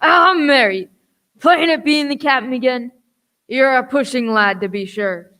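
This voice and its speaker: A woman's voice: high-pitched, measured pace, bright, forceful tone. A woman playing a sly, swaggering pirate ringleader in a radio play, with wheedling sailor talk. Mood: coolly mocking.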